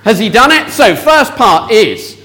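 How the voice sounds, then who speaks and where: A man's voice: sits high, very animated, measured pace, sharp tone. A man leading an interactive children's talk in church, asking the audience questions.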